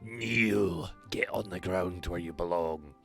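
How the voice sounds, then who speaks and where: Deep-voiced man streaming with wry asides. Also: slow, gravelly, fairly bright tone, soft background music. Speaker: game streamer. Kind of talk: casual live gameplay commentary.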